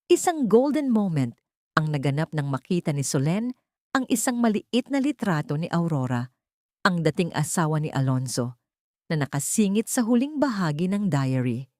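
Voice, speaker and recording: A woman's voice: fairly low pitch, dark tone, no room sound, clean studio sound. A woman reading a scripted, dramatic audiobook as a storyteller.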